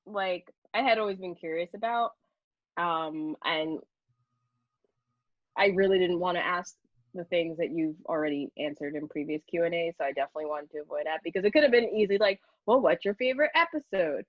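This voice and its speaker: A woman's voice: on the low side, a little fast, no echo, highs cut off. A woman interviewer chatting casually in a playful, rambling way. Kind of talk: casual chat.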